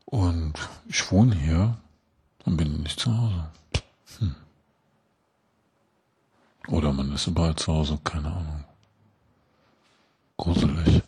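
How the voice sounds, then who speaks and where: A man's voice: very deep voice, slow pace, pauses often, a little mellow, slightly rough. A man thinking aloud to himself in hesitant fragments.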